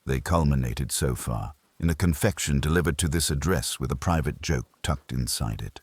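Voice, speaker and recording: A man's voice: a bass voice, normal speed, slightly rough, no room sound. A man voicing an imperious, brooding detective genius in an audiobook, formal, curt and given to pronouncements. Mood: imperious, stern. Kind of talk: audiobook character dialogue.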